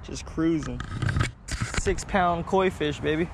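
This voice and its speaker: A man casually vlogging outdoors as he fishes, talking in an excitable, slangy way. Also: a little high, slow. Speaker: fishing vlogger. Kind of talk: casual outdoor vlog chat. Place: outdoors beside a pond. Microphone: body-worn action camera. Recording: noisy outdoor action camera audio.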